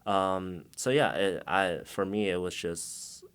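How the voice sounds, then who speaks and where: Young male guest, originally from China, very low register, loose chatty delivery. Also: takes it slowly, clear, resonant tone. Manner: relaxed, many fillers, laughs often. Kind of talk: casual interview answers.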